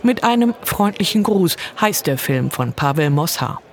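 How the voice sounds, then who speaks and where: A woman reading a scripted culture report voice-over with an expressive delivery. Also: on the low side, normal speed.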